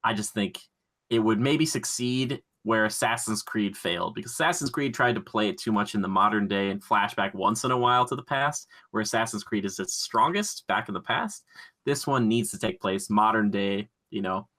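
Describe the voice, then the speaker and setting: A man co-hosting a podcast in casual chat, pitching his ideas in an excitable, slangy, rambling way. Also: normal speed. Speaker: podcast co-host.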